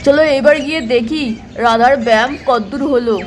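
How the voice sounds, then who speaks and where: A very high-voiced village farmer husband from a doll cartoon, played by a woman, chatty and full of instructions. He is proud and bossy, and there is faint noise behind the voice. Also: a little fast, natural voice. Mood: chatty, contented. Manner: instructing, chatty, repetitive urging. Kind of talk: acted children's doll-play cartoon dialogue.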